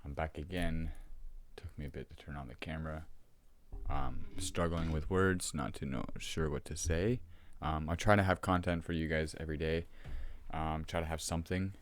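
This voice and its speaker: A man vlogging to his camera at home, with a sore, rough throat, talking casually and rambling with many ums and restarts. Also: a bass voice, steady tone, moderate pace.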